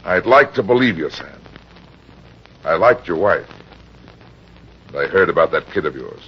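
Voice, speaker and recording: Dull old radio recording, shrewd heavyset private eye, American, hard-boiled, husky, animated pitch. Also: male voice, normal speed. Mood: probing, skeptical. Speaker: actor playing detective and narrator. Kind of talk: old-time radio detective drama acting.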